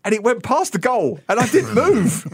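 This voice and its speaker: A man, co-hosting a casual radio chat in a studio and telling stories with animated mimicry. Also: high-pitched, unhurried, slightly rough, no room sound. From England. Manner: animated storytelling, mimics reporters. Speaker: radio co-host. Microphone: studio microphone.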